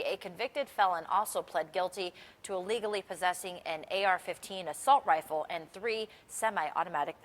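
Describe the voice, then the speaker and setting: A woman's voice: mid-range voice, a bit bright. Reverberant American woman anchoring morning news. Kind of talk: broadcast news delivery, scripted.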